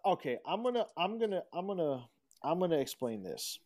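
A man's voice: fairly high pitch, big pitch swings, a little fast, a little mellow. An American man co-hosting a podcast, riffing casually about sports in profane slang. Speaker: podcast co-host.

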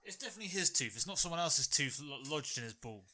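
A man's voice: a little high, animated, fast talker, pushed and bright. A man on a chat podcast, chipping in with wry quips in casual conversation.

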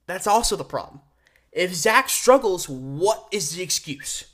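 Male sports podcast host: conversational solo commentary, opinionated delivery, leans hard on key words with deliberate pauses. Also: high-pitched, lively intonation, a bit bright.

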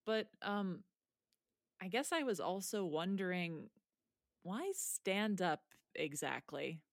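A woman's voice: on the low side, expressive, slow, gravelly, dry recording, clean studio sound, full character voice. A woman playing a frank, wry teenage student in a naturalistic audio drama, understated and a little hesitant. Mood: frank, thoughtful.

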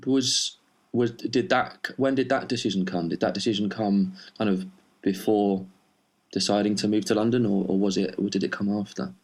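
A man with a lowish voice interviews a guest over an online call. He asks his questions in a relaxed, halting way, with plenty of fillers. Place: remote online recording.

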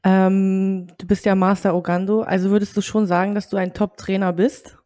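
A woman's voice: mid-range voice, flat delivery, moderate pace, warm tone, no echo, clean studio sound. A young woman, a judo athlete hosting a casual podcast interview.

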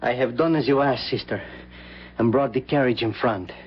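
Radio drama brother, male actor: sombre, dutiful, husky mid-pitched voice, muffled vintage recording. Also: normal speed, close to an everyday voice. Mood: sombre, concerned. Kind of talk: old-time radio drama acting.

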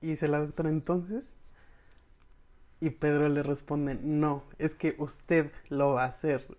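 A speaker with a Mexican accent giving an informal, expressive recap to an audience of friends, slangy and casually acting out bits of dialogue. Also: medium pitch, takes it slowly. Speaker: podcast reviewer recapping episodes for followers.

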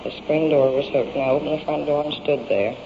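Female suspect giving an unscripted, colloquial account, on a muffled old recording with clear noise. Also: low-pitched, fairly even intonation, a little fast. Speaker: murder suspect giving police statement. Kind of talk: unscripted, plain step-by-step account. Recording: dull, noisy old field recording.

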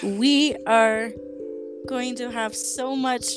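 A woman co-hosting a podcast, chatting casually and informally in an excitable way. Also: fairly high pitch, extremely slow, music in the background. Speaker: podcast co-host. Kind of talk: casual chat with a friend.

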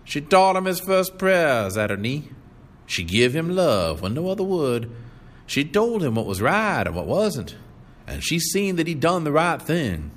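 A man's voice: a little high, sing-song, measured pace, voice pushed up. A man doing a preachy drifter. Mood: pleading sentimental. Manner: preachy, pleading, drawling. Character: sentimental pious drifter man, self-pitying. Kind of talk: audiobook reading.

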